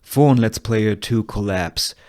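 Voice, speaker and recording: Male narrator for a script read-through, low register, measured and slow, delivering scene directions. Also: darkish tone. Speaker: narrator reading stage directions.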